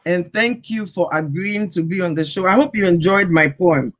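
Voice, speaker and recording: A woman hosting a talk show, speaking in a measured way, reciting slowly with emphatic pauses and then interviewing her guest. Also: lowish voice. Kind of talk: interview with a recited poem opening.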